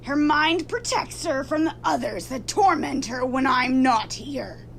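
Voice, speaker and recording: A woman's voice: high-pitched, lively intonation, unhurried, gravelly, a little hiss or hum, exaggerated voice. A woman playing a menacing, protective spirit in a horror radio drama, drawing out words in an eerie voice. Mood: eerie, defiant. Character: ghostly possessing spirit in a madwoman, menacing, protective.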